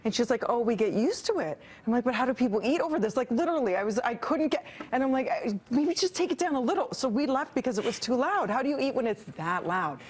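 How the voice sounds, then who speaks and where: Woman, 30s, morning TV co-host, lively casual banter, studio lapel sound. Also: on the high side, quick pace. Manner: chatty and animated, often laughing. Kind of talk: casual chat. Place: TV studio desk with street windows.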